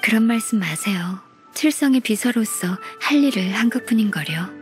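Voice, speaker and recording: A woman voicing a gentle, modest, dutiful secretary in a video game, speaking softly and formally. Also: mid-range voice, expressive, moderate pace, soft background music, natural voice. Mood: polite, earnest. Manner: polite, soft-spoken, formal. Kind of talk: Korean video game voice acting.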